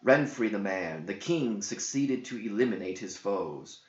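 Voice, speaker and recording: Slow, echoey low-voiced man narrating an epic. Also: animated. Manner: theatrical, declamatory storytelling. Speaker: narrator, author reading own verse epic. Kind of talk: dramatic scripted verse narration. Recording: dull roomy echoing home recording.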